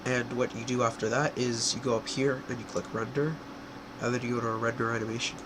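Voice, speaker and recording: A young man with a cold, his voice stuffy and congested, walks through a software tutorial in a loose, rambling way, often stopping to correct himself. He is recorded on a home computer mic, with a clear air-conditioning hum behind him. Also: mid-range voice, little pitch movement, brisk. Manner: rambling, filler-heavy, self-correcting. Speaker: tutorial creator.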